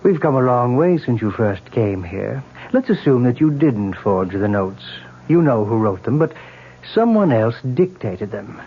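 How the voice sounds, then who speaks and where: A calm psychiatrist, husky, questioning, muffled old radio. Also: male voice, mid-range voice, a little slow, full character voice. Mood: probing. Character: probing, calm male psychiatrist. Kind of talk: 1970s radio drama acting.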